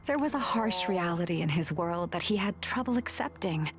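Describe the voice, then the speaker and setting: A woman's voice: lowish voice, lively intonation, moderate pace. An actress playing a tough, wry woman survivor, narrating a video game story with dramatic flair and dry humour. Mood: solemn, determined. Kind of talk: scripted first-person cutscene narration. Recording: music behind the voice.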